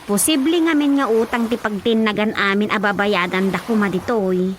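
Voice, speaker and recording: An actress playing a dutiful grown daughter in an Ilocano radio drama, deferential and matter-of-fact. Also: female voice, medium pitch, moderate pace, a little hiss or hum, lightly acted. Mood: concerned. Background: prominent background music.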